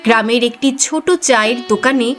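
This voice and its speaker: A woman's voice: on the high side, moderate pace, fairly bright tone, soft background music. A woman narrating a cartoon story in a scripted storytelling style.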